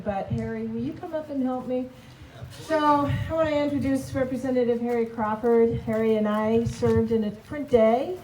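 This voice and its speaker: Casting: rally emcee, woman, warm and mellow, chatty, echoey and far from the mic. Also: on the high side, a little hiss or hum. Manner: friendly, chatty introductions. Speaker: rally emcee and former legislator. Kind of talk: introducing speakers at a rally.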